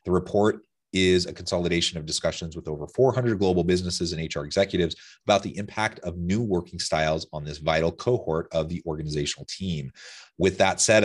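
Deep-voiced male podcast host. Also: slightly rough. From Utah. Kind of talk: podcast interview.

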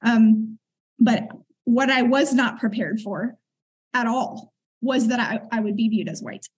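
Husky-voiced woman telling her story. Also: on the high side, unhurried, low-quality recording. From United States. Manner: earnest, reflective, clears throat often. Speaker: guest. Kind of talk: conversational interview storytelling.

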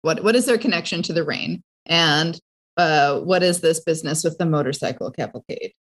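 A woman's voice: deep voice, normal speed. A woman chatting casually as a player in a tabletop role-playing game.

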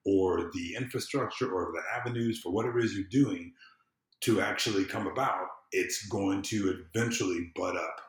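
An American man with a low voice speaks in an echoing room. He talks as a podcast guest, in a casual but impassioned way. His delivery is emphatic and informal. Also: moderate pace. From southern United States. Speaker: podcast guest, civic engagement consultant. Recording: roomy, echoing sound.

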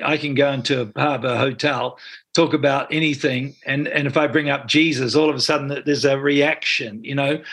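Older man, new zealand accent, gravelly voice, earnest rambling answers, lots of restarts. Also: mid-range voice, fairly bright tone. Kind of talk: interview answers.

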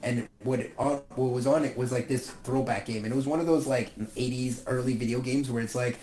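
A young man chatting casually about movies, swearing often and ranting with animated energy. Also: mid-range voice, a little fast. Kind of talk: casual chat. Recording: echoey room with faint ambient noise.

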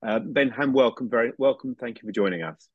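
A man hosts an interview podcast, giving a formal introduction and handing over to his guest. His mid-pitched voice sounds dry, with no room sound around it, and he restarts phrases now and then. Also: brisk, slightly rough, no room sound, narrow, tinny audio. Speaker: podcast host. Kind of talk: interview hosting.

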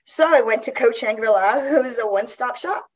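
A chatty woman in her fifties, from Guam, telling stories in a relaxed interview. She laughs easily and often stops to restart her sentences, in a slightly high voice. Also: moderate pace. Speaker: guest athlete. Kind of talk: casual interview chat.

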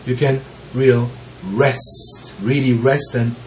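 A man who is recovering from bronchitis, so his voice sounds deeper than usual, gives a slow, reflective teaching talk with frequent pauses and the occasional soft laugh. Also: steady tone, a little hiss or hum, low-quality recording. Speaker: Zen teacher.

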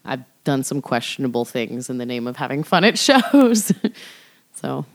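A woman's voice: a bass voice, big pitch swings, normal speed. A young woman, a band vocalist, talking casually as a podcast guest, loose and chatty with lots of fillers. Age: young adult. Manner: chatty, filler-heavy, laughs often.

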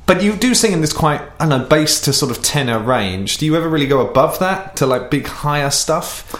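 A man's voice: a little high, lively intonation, a little fast, a bit bright. A man interviewing a singer, chatty and encouraging, with a light teasing laugh. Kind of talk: casual interview chat. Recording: echoey room with faint music.

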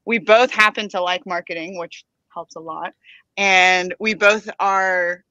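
A woman from Los Angeles talks earnestly in an interview, sometimes restarting her sentences. Her voice is bright and forward, with a dry, slightly dull sound. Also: lowish voice, fairly even intonation, a little slow, dry recording. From Los Angeles, United States. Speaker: guest, producer and entrepreneur. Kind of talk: casual interview talk.

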